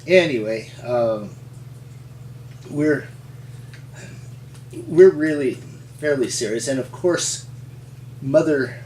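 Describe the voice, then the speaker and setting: A man's voice: mid-range voice, slow pace, pauses often, a little mellow, slight background noise. A man, a paleontologist, telling folksy, rambling stories in an interview. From Montana. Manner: rambling, folksy, chuckling storyteller. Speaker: resident paleontologist. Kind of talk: storytelling interview. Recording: echoey room, mic at a distance.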